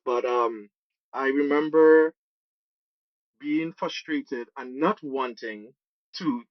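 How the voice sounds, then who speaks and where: A man's voice: medium pitch, takes it slowly, no echo, lo-fi sound. A man from Trinidad and Tobago with a Trinidadian accent, speaking conversationally as an interview guest. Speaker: podcast guest, program manager and musician.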